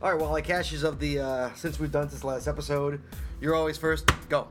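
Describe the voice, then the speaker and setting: A man's voice: on the high side, fairly even intonation, fairly quick, faint music behind. A man co-hosting a casual podcast, joking and bantering while getting over a cough. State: coughing, recovering from a lost voice. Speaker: podcast co-host. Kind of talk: casual chat.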